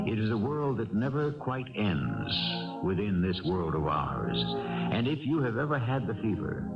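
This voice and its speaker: A man hosting a radio drama with dramatic, measured, portentous scripted narration. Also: deep voice, distant mic. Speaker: host and narrator. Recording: muffled 1970s radio, loud music behind.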